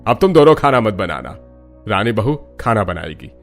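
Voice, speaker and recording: A man's voice: fairly low pitch, very animated, moderate pace, faint music behind. An actor playing an older father-in-law in a cartoon family drama, paternal, emphatic, kindly but firm. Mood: stern.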